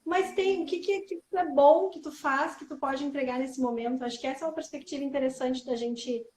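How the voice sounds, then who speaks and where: Coach woman, reflective live chat, bright high pitch, roomy sound. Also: steady tone, a little fast, a little hiss or hum. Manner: warm reflective, many fillers like "né" and "assim". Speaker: guest coach.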